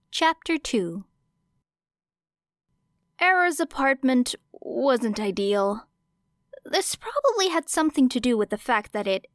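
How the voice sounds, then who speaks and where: A woman narrates fiction in a fairly high voice. She reads the scripted prose expressively, as an audiobook reader would. The recording is close and very dry, with hardly any room sound. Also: fairly bright tone, no room sound.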